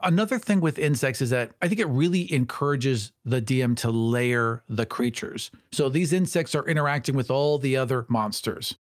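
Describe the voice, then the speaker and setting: A man's voice: mid-range voice, moderate pace, few pauses, no room sound, clean studio sound. A man co-hosting a podcast in a casual, conversational style. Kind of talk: casual chat.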